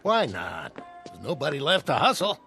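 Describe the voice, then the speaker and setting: A man's voice: mid-range voice, wildly swooping pitch, normal speed, vocal fry, music in the background. An actor playing an older man who hangs around a pool hall, in a radio drama. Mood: wry.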